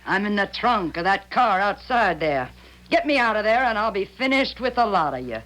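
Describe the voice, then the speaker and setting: A woman's voice: mid-range voice, very animated, normal speed, background noise, lightly acted. An actress playing a young woman possessed by a blunt, feisty old aunt in a 1970s radio drama. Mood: defiant. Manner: salty, blunt, defiant.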